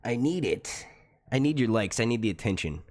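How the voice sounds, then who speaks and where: Young male streamer, naturally loud and projecting, casual and profane, animated delivery. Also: mid-range voice, moderate pace. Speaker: YouTube live stream host. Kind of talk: casual live stream chat. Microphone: desk mic.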